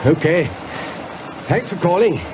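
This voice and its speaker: An actor playing a nervous, bookish middle-aged professor in an audio horror drama, hesitant, stammering and polite. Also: male voice, high-pitched, animated, unhurried, noisy background. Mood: shaken, polite.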